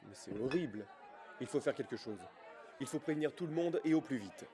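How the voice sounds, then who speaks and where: A man's voice: medium pitch, lively intonation, brisk, warm tone, lightly acted. An actor playing a weary, skeptical male office worker in an audio drama. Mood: alarmed. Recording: echoey reverb, music and crowd behind.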